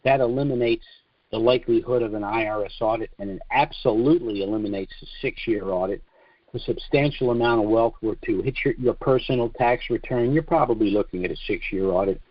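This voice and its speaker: An American man giving a monologue briefing as host of a conference call, speaking deliberately and haltingly, with emphatic drawn-out phrases. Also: medium pitch, no room sound. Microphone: conference call line. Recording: dull, lo-fi conference call audio.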